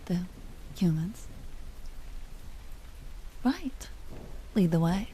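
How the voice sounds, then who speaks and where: A woman playing an elegant vampire duchess in an audio roleplay, speaking softly with formal, languid, teasing courtesy and an amused, predatory air. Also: fairly low pitch, sing-song, very slow, a little hiss or hum, heavily acted, poor audio. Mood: wistful, sheepish. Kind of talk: dramatic roleplay audio performance.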